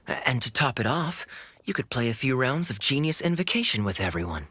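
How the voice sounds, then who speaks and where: A man playing a suave, easygoing young male officer in an English anime game dub, smooth, wry and playful. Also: medium pitch, big pitch swings, fairly quick, pushed and bright, slight background noise. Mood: amused. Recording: music behind.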